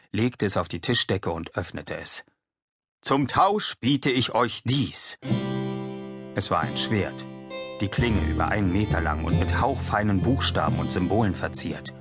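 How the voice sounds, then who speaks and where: A man's voice: sits low, normal speed, slightly rough, no room sound, clean studio sound, faint music behind. A man in his forties reading scripted literary narration as an audiobook narrator. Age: 40s.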